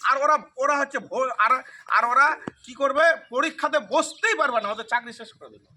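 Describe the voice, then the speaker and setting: A man's voice: very high voice, a little fast, sharp tone. A middle-aged man giving an impassioned, argumentative political talk as a local activist, emphatic and full of rhetorical questions. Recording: distant mic, faint ambient noise.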